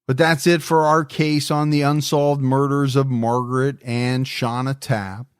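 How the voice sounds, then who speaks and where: A man's voice: medium pitch, flat delivery, unhurried, clear, resonant tone, no echo. Earnest male podcast host, dry close sound. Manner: earnest, reflective, repeats words when stressing a point. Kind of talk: casual true-crime podcast chat.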